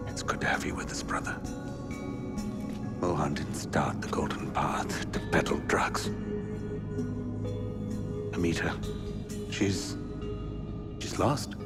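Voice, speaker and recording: An actor playing an earnest, principled rebel leader in a video game cutscene, grave and moralizing. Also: male voice, very deep voice, slow, long pauses, loud music behind the voice. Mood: grave, resolute.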